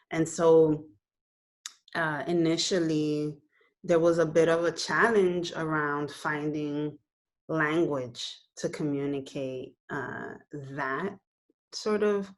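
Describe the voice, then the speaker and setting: A woman in her thirties from Puerto Rico, raised in New York City, talking thoughtfully about her film on a video call from her living room, with many "you know"s and "um"s. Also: low voice. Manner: gestures with her hands while talking. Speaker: filmmaker being interviewed. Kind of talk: reflective casual interview answers. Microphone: laptop webcam on a video call.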